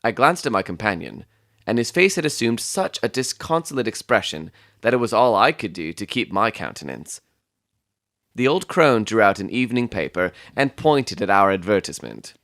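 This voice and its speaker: Guy as composed victorian gentleman narrator, lowish voice, measured audiobook storytelling, really dry recording. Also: big pitch swings, no room sound.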